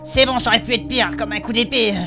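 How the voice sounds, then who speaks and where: A woman doing a high-voiced plucky adventurer lad, echoey. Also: steady tone, quick pace, close to an everyday voice. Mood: dazed, easygoing. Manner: casual, slangy. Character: plucky male adventurer, affectionate, reckless, easygoing gunner. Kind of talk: comic fantasy audio drama acting. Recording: echoey, clear music behind.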